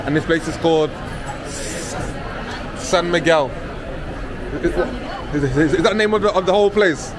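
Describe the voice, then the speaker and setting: A man's voice: on the high side, moderate pace, pauses often, rough recording. A man vlogging a walking tour through a crowded indoor food market, exclaiming eagerly and repeating his words as he tastes food. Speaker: travel vlogger. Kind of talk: casual walking-tour chat. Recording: busy market crowd behind. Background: loud crowd chatter.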